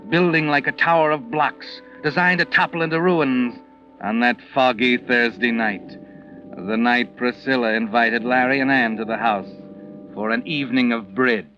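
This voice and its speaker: A man's voice: mid-range voice, fairly even intonation, moderate pace, bright, forceful tone, faint music behind, natural voice. A man playing a knowing, taunting storyteller, narrating a 1940s radio mystery with insinuating drama. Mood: taunting, ominous. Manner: insinuating, dramatic second-person address. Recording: muffled vintage 1940s radio recording. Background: dramatic musical score.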